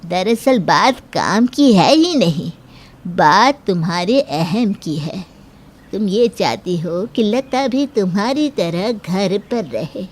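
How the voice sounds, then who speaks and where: A woman voicing a stern but fair middle-aged Indian mother-in-law in a moral-story cartoon, speaking in a measured, admonishing way. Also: medium pitch, animated, slight background noise. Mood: grave, reproving.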